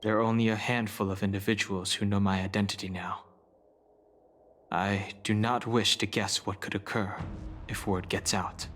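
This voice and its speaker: A man playing a solemn, reserved exile burdened by his past in a video game, speaking in a measured, formal way. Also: on the low side, little pitch movement, fairly bright tone, a little hiss or hum, lightly acted. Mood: grave, resolved. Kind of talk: English video game voice acting.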